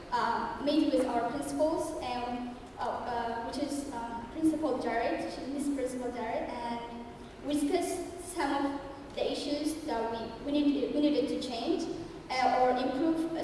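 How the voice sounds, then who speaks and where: A young woman from Ethiopia gives a prepared speech to an audience, pausing often and restarting her phrases. Her voice is high, and it is picked up from a distance. The long reverb of a large hall hangs around every word. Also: darkish tone, slight background noise. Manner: halting, many fillers and restarts. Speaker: student speaker. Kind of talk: prepared speech at a public event. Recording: echoey hall recorded from far off.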